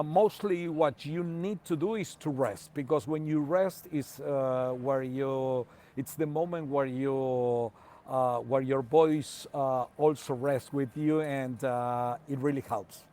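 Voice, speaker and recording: A man from Argentina whose first language is Spanish, a soccer commentator speaking careful, halting English with many fillers and a Spanish accent as a guest on a remote call. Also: mid-range voice, darkish tone. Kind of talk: casual interview by remote call.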